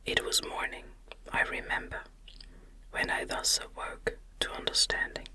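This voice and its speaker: A woman reading a classic novel aloud in a gentle, soothing, breathy ASMR whisper with careful, unhurried phrasing.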